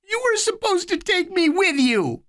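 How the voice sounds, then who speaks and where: A woman's voice: sing-song, measured pace, exaggerated voice. A woman voicing a small, scared young boy who whines and sounds close to tears. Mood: whiny. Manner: whiny, tearful, high.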